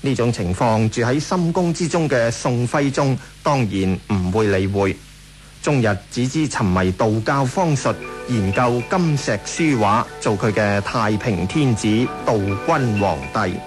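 A man's voice: medium pitch, expressive, unhurried. Male narrator, Hong Kong Cantonese, formal history script, light music bed.

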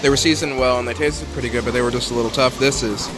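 A man's voice: mid-range voice, rapid-fire, loud music behind the voice. A young man hosting a casual food vlog, chatting loosely with lots of fillers as he films himself on a phone at an outdoor poolside cafe. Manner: relaxed, chatty, frequent fillers. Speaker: vlog host. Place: outdoor cafe patio by a pool. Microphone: phone camera held at arm's length. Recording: noisy outdoor phone recording.

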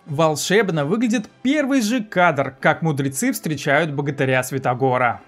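A man's voice: on the high side, normal speed, faint music behind. A man in his thirties presenting a scripted video essay as a film review host, recorded indoors in a small room.

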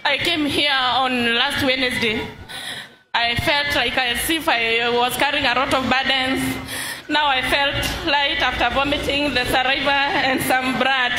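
A woman giving a halting, earnest testimony into a handheld mic in a large church hall. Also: high voice. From Kenya. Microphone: handheld mic held by an usher. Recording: echoey hall with crowd noise.

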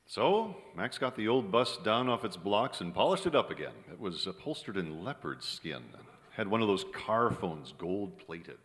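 A man's voice: on the low side, sing-song, moderate pace, fairly bright tone. A middle-aged man playing a jaded, sardonic kept writer, plain-spoken, in old-time radio drama style. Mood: wry, narrating. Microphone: vintage-style stand microphone. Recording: live theater stage, long hall reverb.

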